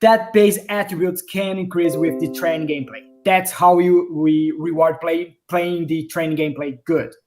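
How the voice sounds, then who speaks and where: A man's voice: on the high side, fairly even intonation, unhurried, hardly any pauses, a little mellow, soft background music. A man from Brazil with a Brazilian accent, a game developer answering questions on a home video call, often hesitating and restarting as he searches for words. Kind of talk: casual livestream Q&A. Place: home office.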